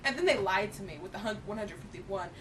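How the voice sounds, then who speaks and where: A young woman co-hosting a geek show in casual, opinionated chat, with an animated, giggly, emphatic manner. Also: quick pace. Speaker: co-host of a geek culture show. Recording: distant mic with hissy, rumbly noise.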